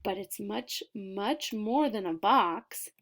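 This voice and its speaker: A woman reading a children's picture book aloud expressively, deliberate in her delivery, with dramatic pauses and emphasis. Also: mid-range voice, animated, fairly bright tone.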